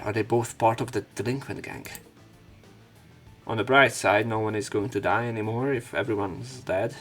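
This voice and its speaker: A man's voice: lowish voice, fairly bright tone. A man reading a visual novel aloud as a let's play, breaking off into casual, irreverent commentary with some swearing. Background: game music.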